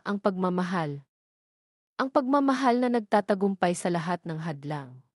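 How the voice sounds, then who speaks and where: A woman's voice: mid-range voice, darkish tone, dry recording. Woman narrating a dramatic love story, dry sound.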